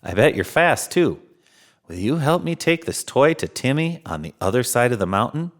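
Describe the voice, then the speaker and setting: A man acts out a jolly old Santa Claus for a children's storybook. He is kindly and given to chuckling, with a pitch that swings widely and merrily. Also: normal speed. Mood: coaxing. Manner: booming ho-ho-ho chuckles, kindly and animated. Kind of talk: children's storybook read-aloud.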